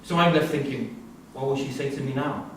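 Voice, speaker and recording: A man's voice: mid-range voice, steady tone, a little fast, natural voice. A man playing a blunt, colloquial prisoner, a cocky yet brooding killer, in a staged drama reading. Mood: brooding, subdued. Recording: very echoey hall, distant mic, hiss.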